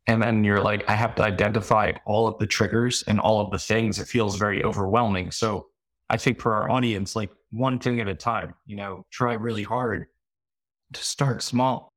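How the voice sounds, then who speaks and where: A man's voice: lowish voice, fairly even intonation, normal speed, a bit bright, no room sound. A man talking casually and conversationally as a podcast co-host. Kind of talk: casual chat.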